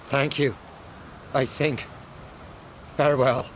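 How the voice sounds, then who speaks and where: A man playing a tormented, disoriented island lord in a fantasy video game, drained and halting in his speech. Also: mid-range voice, steady tone, a little hiss or hum, close to an everyday voice. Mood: drained, uncertain. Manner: bewildered, halting. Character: dazed, tormented male island lord freed from a curse.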